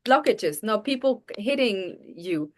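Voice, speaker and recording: A woman's voice: mid-range voice, animated, slow, slight background noise. A woman telling a personal story in a casual chat, candid and earnest.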